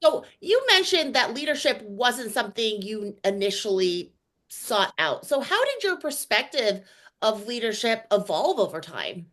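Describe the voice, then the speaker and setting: A woman's voice: a little high, very animated, measured pace, fairly bright tone. A woman hosting an interview, upbeat and affirming, with casual fillers. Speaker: podcast host.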